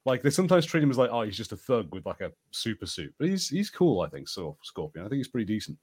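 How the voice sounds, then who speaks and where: A man's voice: on the low side, very animated, fast, a little mellow, breathy edge. A man co-hosting a podcast, talking casually and conversationally. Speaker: podcast co-host. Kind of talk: casual pop culture discussion.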